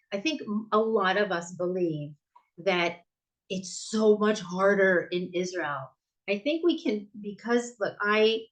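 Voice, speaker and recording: A middle-aged American woman, a bookstore owner, answering interview questions in a chatty way with frequent restarts and fillers. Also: mid-range voice. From United States.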